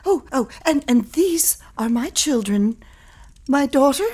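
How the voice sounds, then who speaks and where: An actress playing a flustered, fragile widowed mother in a period audio drama, halting and repeating her words in a genteel, anxious way. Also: sits high, expressive, a little mellow. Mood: flustered, polite.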